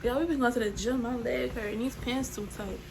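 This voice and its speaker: A woman's voice: a little high, fairly even intonation, fairly quick, gravelly. A woman, teasing her partner on a casual couple's vlog. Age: 30s. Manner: teasing, playful. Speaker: vlogger. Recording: noisy room.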